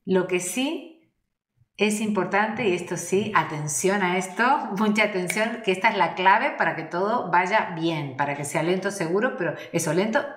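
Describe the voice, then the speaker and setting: A middle-aged woman talks straight into her webcam, explaining things earnestly and often restarting phrases, her hands moving as she speaks. Her voice sits a little low for a woman. The bare room around her gives the sound a noticeable echo. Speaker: educator on child language. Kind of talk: informal educational talk to camera. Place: bare indoor room with shelves. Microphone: webcam at desk distance. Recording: echoey room.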